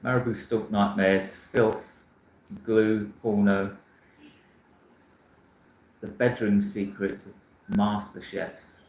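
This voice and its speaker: Rambling South London man, low voice. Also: little pitch movement, slow pace, long pauses, darkish tone, reverberant, rough recording. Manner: rambling, self-correcting, frank. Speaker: book channel host. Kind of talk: casual chat reading viewer comments.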